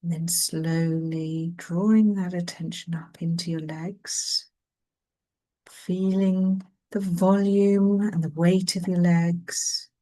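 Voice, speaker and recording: A woman leading a guided mindfulness meditation in a gentle, soothing manner, leaving long pauses between phrases. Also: fairly low pitch, fairly even intonation.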